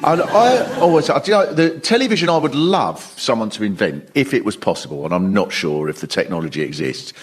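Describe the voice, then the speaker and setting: A man's voice: fairly low pitch, animated, a little fast, few pauses. A middle-aged man on a comedy panel, ranting in an exasperated way before a live audience. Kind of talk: comic ranting. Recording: live show, loud audience behind. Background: studio audience.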